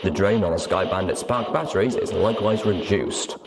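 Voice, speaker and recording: A man narrating a fantasy audiobook in an expressive, scripted reading style. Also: low voice, normal speed, heavy background noise. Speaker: audiobook narrator and show host.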